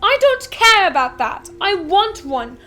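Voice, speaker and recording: A woman's voice: very high voice, big pitch swings, a little slow, sharp tone, soft background music, voice pushed up, full character voice. A woman reading aloud and voicing a spoiled, demanding little rich girl who whines shrilly and insists on getting her way.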